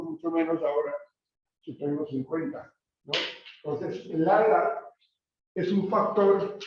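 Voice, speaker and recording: A man with a Colombian accent giving a classroom lecture in a conversational way, with many hesitations and tag questions. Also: on the high side. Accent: Colombian Spanish. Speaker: university lecturer. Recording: roomy, distant, dull recording with faint noise.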